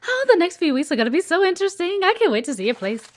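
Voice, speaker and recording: A woman's voice: very high voice, very animated, racing. A woman playing a playful, teasing, observant executive assistant in an intimate one-sided audio roleplay. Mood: playful, pushy. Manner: coy, teasing, chatty, with murmured asides.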